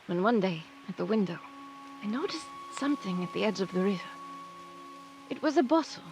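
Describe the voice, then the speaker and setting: Woman as wistful young girl from France, measured dramatic storytelling, literary, animated game cutscene, mid-range voice, over music. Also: medium pitch, natural voice, poor audio. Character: wistful French girl telling of her lost friend.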